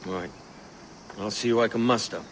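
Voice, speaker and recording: An actor playing a brash, cocky young pirate captain with a Welsh accent and a wry, bantering manner in a video game. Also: male voice, on the low side, fairly even intonation, normal speed, heavy background noise, natural voice. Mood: confident. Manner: wry, bantering, seafaring turns of phrase. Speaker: ship's captain. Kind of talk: video game cutscene acting.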